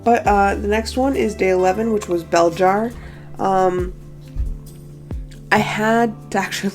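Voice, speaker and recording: Hoarse gravelly woman over soft music. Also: mid-range voice. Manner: chatty, self-critical, many fillers. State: hoarse, stuffy morning voice. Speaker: art vlogger. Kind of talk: casual talking-head recap.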